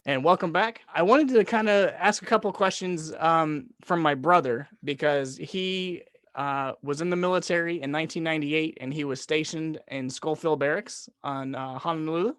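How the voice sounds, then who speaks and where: A man with a slightly high voice hosts a casual interview. The sound is very dry. He is informal and a little halting as he puts his questions. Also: fairly bright tone, clear, resonant tone, no room sound. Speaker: interview show host.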